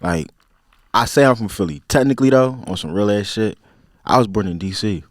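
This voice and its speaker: A man in his twenties with a Philadelphia accent, a podcast guest talking in a relaxed, slang-heavy, rambling way. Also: lowish voice, brisk, no echo, clean studio sound. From Philadelphia, USA. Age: 20s. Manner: laid-back, slang-heavy, rambling with many fillers. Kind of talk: casual interview chat.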